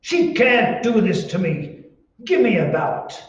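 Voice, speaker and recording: Male actor as blustering neighbor, pompous, slow-paced, high voice, old-time radio comedy. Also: sing-song. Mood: outraged. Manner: pompous, indignant. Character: blustering, contrary, pompous neighbor man, easily indignant. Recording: echoey room, distant mic, dull sound.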